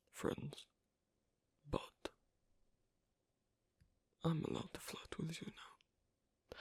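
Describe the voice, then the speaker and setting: A gravelly, very husky werewolf man played by a male performer in an intimate ASMR roleplay. He half-whispers in halting, teasing phrases, gruff and guarded yet flirtatious, recorded close and dry. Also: on the high side, dry recording, full character voice. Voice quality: breathy, husky, mostly whispered. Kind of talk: ASMR roleplay, intimate one-sided dialogue.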